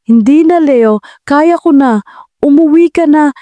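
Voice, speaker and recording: A woman's voice: high voice, a little slow, warm tone, no echo, voice pushed up. A woman voicing a reserved, wealthy woman in her forties in an audio drama, answering curtly and with restraint. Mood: curt, guarded. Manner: curt, restrained.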